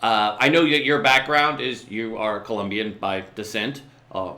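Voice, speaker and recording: A man's voice: fairly low pitch, fairly even intonation, moderate pace, sharp tone, reverberant. A man hosting a talk show, chatty and enthusiastic as he asks questions. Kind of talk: casual interview chat.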